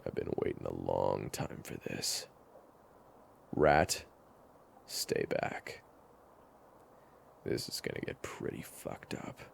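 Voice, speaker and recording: Man playing a very deep, menacing vengeful gunslinger. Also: animated, slow pace, gravelly, long pauses, slight background noise, exaggerated voice. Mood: grim.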